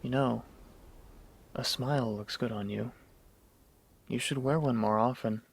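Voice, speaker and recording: Creaky-voiced young pony student played by a man. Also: mid-range voice, steady tone, a little slow. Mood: concerned, gentle. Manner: hesitant, polite, self-deprecating. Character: young male pony student mage, earnest, humorous, kind. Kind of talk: radio drama acting.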